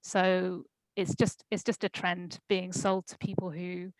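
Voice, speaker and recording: A woman speaking at a conference, answering questions in a thoughtful, measured way with frequent pauses and ums. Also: on the low side, little pitch movement, dry recording, poor audio. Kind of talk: Q&A discussion.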